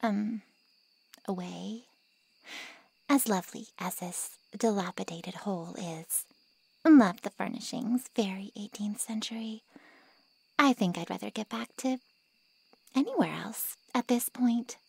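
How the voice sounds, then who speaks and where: A woman playing a haughty, callous ancient vampire in an ASMR roleplay drama, with mocking sarcasm and a breathy drawl. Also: mid-range voice, measured pace, long pauses, no room sound, low-quality recording. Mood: sarcastic.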